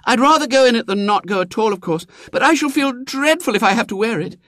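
A man's voice: high voice, expressive, a little fast, no room sound, clean studio sound, pitched above the performer's own voice. A man voicing an earnest, trusting and anxious little girl in a children's storybook, with an eager, plaintive manner. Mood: sorrowful, hopeful.

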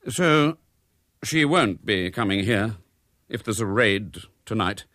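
A man's voice: fairly low pitch, lively intonation, slow, fairly bright tone, dry recording, studio quality. A man voicing a stern, impatient middle-aged husband and father in a storybook.

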